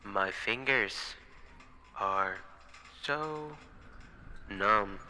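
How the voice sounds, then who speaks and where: Male actor as exhausted young watchman, horror audio drama. Deliberate pace, hard forceful edge, haunted and dramatic. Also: lowish voice, a little hiss or hum, full character voice. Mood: hushed, afraid. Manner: halting, dramatic, breaking into whispers. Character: exhausted, frightened young night watchman recording desperate log entries. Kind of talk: first-person horror audio log narration.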